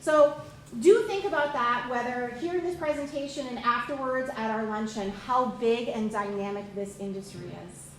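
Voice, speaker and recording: High-voiced enthusiastic woman presenter. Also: moderate pace, slight background noise. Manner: enthusiastic, emphatic, repeats key phrases. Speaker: program presenter. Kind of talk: upbeat recruiting presentation. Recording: echoey room, far from mic.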